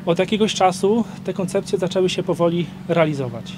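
Middle-aged male local official, high voice, earnest press remarks, clear street noise behind. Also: fairly quick. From Poland. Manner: earnest, emphatic, some restarts. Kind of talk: press statement. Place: outdoor town square. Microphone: cluster of press microphones on stands.

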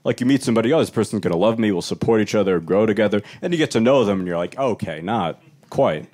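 A young man performing a stand-up comedy set on a club stage, wry and animated, with laughter from the audience. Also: quick pace. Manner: wry, animated, jumps into high-pitched voices for quoted people.

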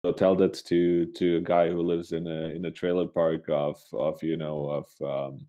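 A man from Switzerland talks in a very low, soft-edged voice. He is co-hosting a casual podcast and speaks off the cuff, often halting to find his words. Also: level tone, dull audio. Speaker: podcast co-host. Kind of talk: casual, unscripted political chat.

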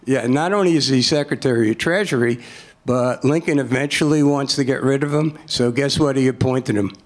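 An older man speaking as a panelist in an informal lecture style, halting and repeating words for emphasis, at a table microphone. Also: mid-range voice. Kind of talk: informal lecture, answering audience questions.

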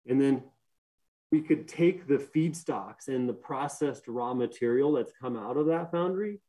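A man's voice: mid-range voice, a little slow, warm tone, poor audio. A young man giving a technical conference talk from home over a video call, explaining earnestly with many fillers and restarts. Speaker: conference speaker, systems engineer. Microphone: webcam or laptop mic on a video call.

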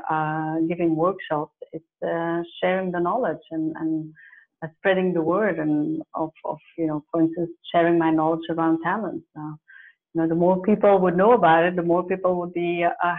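A woman in her forties whose first language is Dutch, a career coach answering questions thoughtfully on a video call from her home office, with many fillers and restarts. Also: fairly low pitch. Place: home office with plants and pictures. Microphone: earphone mic on a video call. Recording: phone-like narrow sound.

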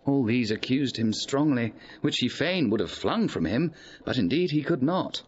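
An actor playing a grave gentleman recounting court news in measured Shakespearean drama style. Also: mid-range voice, animated, muffled recording, slight background noise, lightly acted. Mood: grave, informative. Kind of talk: Shakespearean radio drama acting.

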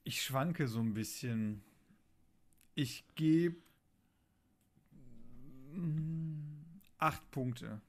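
A man's voice: a little high, drawn-out words, long pauses. A man co-hosting a casual fan podcast, hesitant and repeating himself as he searches for words. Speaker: podcast co-host.

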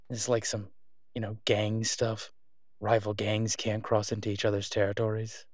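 A devoted, reassuring boyfriend, played by a man in an intimate audio roleplay. He talks softly and conversationally in a mid-pitched male voice, halting as he picks his words. The sound is dry, with no room around it. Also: no echo, close to an everyday voice. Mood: curious, puzzled.

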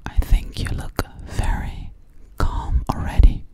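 A woman's voice: slow, dark tone, lightly acted. A young woman playing a sweet, slightly sassy alien girl in a soothing ASMR roleplay, whispering breathily close to the microphone and repeating her words. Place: small dim living room, indoors. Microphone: close studio microphone with foam cover, binaural.

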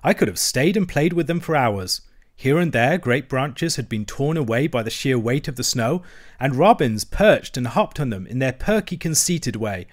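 A man's voice: medium pitch, expressive, normal speed, close to an everyday voice. A man voicing a cheerful, adventurous otter who tells his tale in a hearty, vivid storybook manner. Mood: cheerful, animated.

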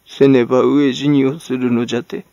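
A man voicing a croaking, gasping old woman who mutters excuses for herself in rustic, archaic speech, in a storybook reading. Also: mid-range voice, little pitch movement, brisk, mellow, no room sound, lo-fi sound, voice pushed up, lightly acted. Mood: pleading, self-justifying. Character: croaking, muttering, self-justifying old hag in rags.

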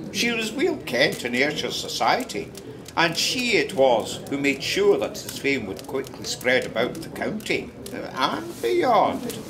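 A droll Scottish local spinning a tale for radio drama. He is chatty and theatrical, his pitch swooping widely at an unhurried pace, with crowd murmur behind him. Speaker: narrator.

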